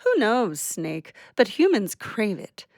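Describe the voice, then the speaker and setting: A woman's voice: mid-range voice, very animated, takes it slowly, a little mellow, no echo, studio quality. A woman playing a poetic, philosophical little warbler in an audio drama, with a lyrical, declamatory delivery.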